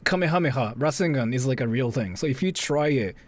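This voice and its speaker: A man chatting casually as a livestream host, rambling and haltingly repeating himself. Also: mid-range voice, lively intonation. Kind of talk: casual livestream chat and reaction commentary.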